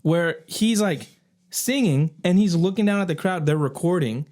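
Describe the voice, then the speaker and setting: A man's voice: high-pitched, normal speed, darkish tone. A man co-hosting a podcast, casually telling rambling anecdotes and quoting people as he goes. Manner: rambling anecdotes, acting out quotes. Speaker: podcast co-host. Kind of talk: casual chat.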